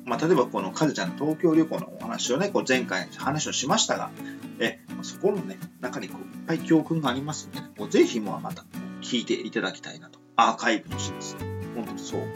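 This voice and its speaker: Lively male radio host. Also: mid-range voice, quick pace, music in the background. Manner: polite, rambling, many fillers. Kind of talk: casual radio chat.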